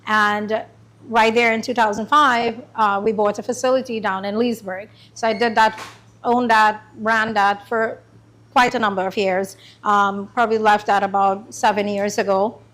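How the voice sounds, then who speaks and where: A middle-aged woman from Trinidad and Tobago giving a chatty, informal talk about her business to a small group, with many pauses and ums. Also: medium pitch, flat delivery, fairly bright tone. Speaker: small business owner presenting. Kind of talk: informal pitch presentation. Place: meeting room.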